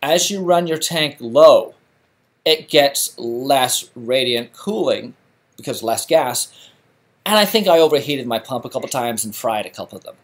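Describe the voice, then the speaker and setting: A man's voice: mid-range voice, expressive, a little slow. A middle-aged man from England hosting a live stream, chatting casually with lots of fillers and restarts. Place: home garage.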